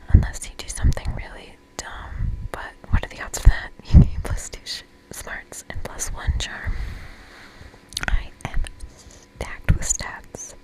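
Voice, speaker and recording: A woman speaks in a steady, breathy whisper, reading a video game aloud for ASMR. Her delivery is playful, slipping between narration and small asides. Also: unhurried, low-quality recording.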